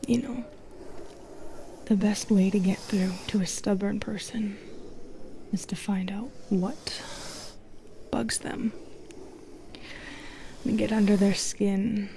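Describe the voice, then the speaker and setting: A woman playing a sly, teasing, predatory Medusa in an audio roleplay, with taunting, coaxing delivery in a breathy whisper. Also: medium pitch, steady tone, takes it slowly, pauses often, mellow, a little hiss or hum, low-quality recording. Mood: sly, patient.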